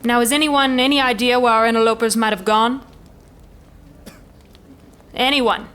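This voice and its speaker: A woman's voice: on the high side, fairly even intonation, moderate pace, fairly bright tone, clean tone, lightly acted. A woman playing a noble elven commander in a fantasy audio drama, speaking in formal, stately oratory. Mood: calculating, composed.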